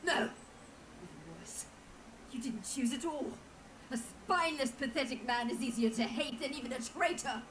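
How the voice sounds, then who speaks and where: A woman's voice: sits high, animated, normal speed, fairly bright tone, a little hiss or hum, rough recording. A woman playing a bitter, proud, street-wise underworld figure in a fantasy video game, with a scornful, theatrical delivery. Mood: bitter, furious. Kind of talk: dramatic video game acting.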